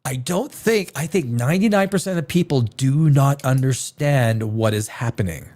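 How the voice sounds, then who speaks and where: A man's voice: mid-range voice, normal speed, darkish tone. A man hosting a live reaction show, rambling and restarting his sentences, with a sniffly head cold. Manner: rambling, repeats words and restarts often.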